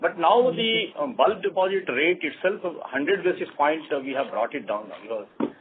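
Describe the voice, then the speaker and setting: A man's voice: on the high side, expressive. Indian man on noisy, tinny phone line. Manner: halting, repetitive. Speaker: bank executive. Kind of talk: answering analysts' questions. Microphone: conference call line.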